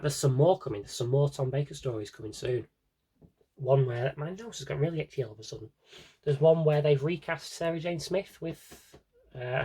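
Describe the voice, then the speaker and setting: A man's voice: medium pitch, mellow, breathy edge, poor audio. A British man chatting casually through a vlog-style review, wry and opinionated, with rambling asides. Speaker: vlogger showing a collection.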